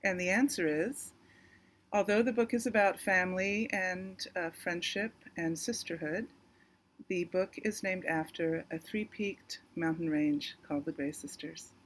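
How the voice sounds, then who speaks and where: A woman's voice: lowish voice, a little slow. A woman who writes thrillers, presenting a trivia question about her book. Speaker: author of young adult thrillers.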